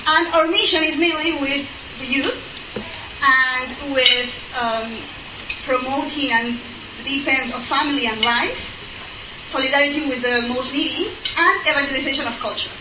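A Peruvian woman with a Spanish accent gives a talk to an audience. Her voice sits very high, and she speaks carefully, often restarting her phrases. She is heard from a distance in a roomy hall with steady background noise. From Peru. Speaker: guest speaker, church history lecturer. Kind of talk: public talk. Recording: echoey room, far mic, noisy.

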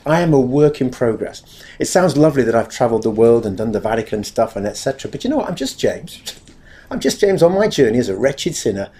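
A man's voice: mid-range voice, mellow. An English man with a big, carrying voice, giving a chatty, self-deprecating talk to a live audience and addressing the room directly.

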